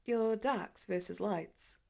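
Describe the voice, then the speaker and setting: Woman voicing a measured, patient older brother. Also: medium pitch, gravelly, darkish tone. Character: patient, matter-of-fact older brother, a boy.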